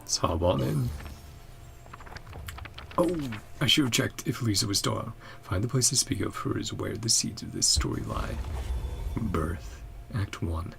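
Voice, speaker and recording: A woman's voice: rumbling low, lively intonation, unhurried, creaky. A woman streamer reading game dialogue aloud and murmuring short reactions between lines. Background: game music.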